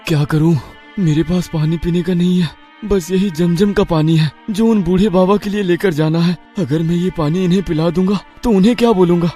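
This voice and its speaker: An actor playing a poor, kind-hearted village husband with earnest, expressive delivery in a moral cartoon. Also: male voice, sits high, brisk, hardly any pauses, soft background music, close to an everyday voice. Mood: concerned. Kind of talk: Hindi moral cartoon dubbing.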